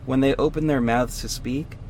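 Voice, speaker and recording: Man acting vigilante hero narrating, mid-pitched. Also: fairly even intonation, normal speed, darkish tone, slight background noise, close to an everyday voice. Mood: moved, subdued. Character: male vigilante hero recounting his own story. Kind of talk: first-person scripted narration.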